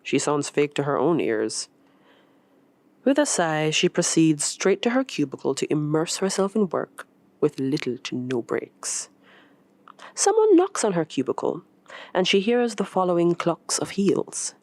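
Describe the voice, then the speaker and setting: A woman reading a short story as scripted narration, in an expressive, measured storytelling manner. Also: low voice, no echo. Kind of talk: scripted narration of literary fiction.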